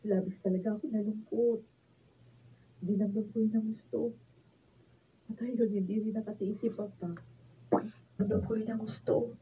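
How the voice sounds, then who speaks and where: A woman's voice: mid-range voice, steady tone, moderate pace, pauses often, lightly acted. An actress playing a devoted, emotional young woman in love in a Filipino radio drama. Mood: tender, emotional. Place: radio drama recording studio, behind-the-scenes video. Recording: phone-like lo-fi sound, faint noise.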